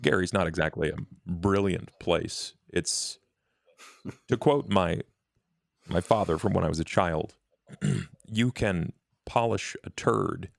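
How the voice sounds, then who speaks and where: A man playing a dry, fussy undead vampire bureaucrat in tabletop roleplay, speaking formally and haltingly with a gravelly, creaky voice. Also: deep voice, dry recording, exaggerated voice. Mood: wary, dry. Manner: measured, halting, deadpan, formal wording.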